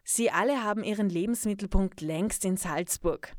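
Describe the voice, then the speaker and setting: A female reporter narrates a report from a script. Her voice sits at an average pitch for a woman. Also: moderate pace, darkish tone, dry recording, studio quality.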